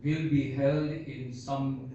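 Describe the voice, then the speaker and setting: Bhoti-native man, halting, in an echoey hall. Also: medium pitch, little pitch movement, mellow. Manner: halting, searching for words, slow and earnest. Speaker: Buddhist seminar speaker. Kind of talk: unscripted seminar talk. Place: seminar hall. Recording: echoey hall, distant dull mic.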